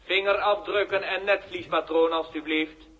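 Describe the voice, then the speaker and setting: An actor playing an officious, rigid automated checkpoint machine in a 1960s radio drama, making formal, repetitive announcements. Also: male voice, sits high, fairly even intonation, moderate pace. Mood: officious, insistent. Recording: dull 1960s radio recording.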